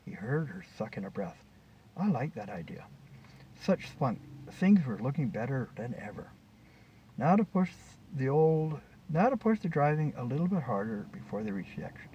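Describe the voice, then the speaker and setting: A man's voice: medium pitch, very animated, moderate pace. A man reading his short story aloud as scripted narration. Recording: distant mic, dull, faint background noise.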